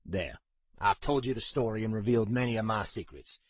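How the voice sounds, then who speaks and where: Male narrator as brooding older man, somber, measured confession, fantasy audiobook, dry sound. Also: fairly low pitch, no room sound, natural voice. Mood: somber, regretful. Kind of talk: audiobook character acting.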